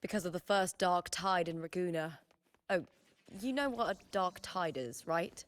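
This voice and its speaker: A woman's voice: lowish voice, moderate pace, lightly acted. A woman playing a confident, composed female warrior who guides others in a video game. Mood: matter-of-fact. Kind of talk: scripted video game dialogue.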